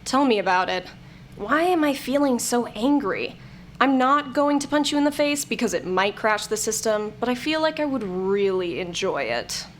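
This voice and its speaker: A woman's voice: fairly high pitch, lively intonation, normal speed, a little hiss or hum, natural voice. A woman playing a jaded, blunt, sardonic character in an audio comedy-drama, with a dry delivery. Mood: irritated, dry.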